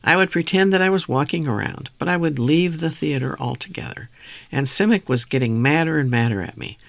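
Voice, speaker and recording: A man reading a first-person memoir aloud, then reading out descriptions of old photographs in a steady, measured way. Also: on the high side, vocal fry, no echo. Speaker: reader.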